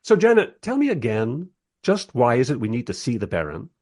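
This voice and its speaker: A man's voice: medium pitch, huge pitch swings, fairly quick, a little mellow. An actor playing a wry, skeptical man who narrates his own adventure in a radio play, with a dry storytelling manner.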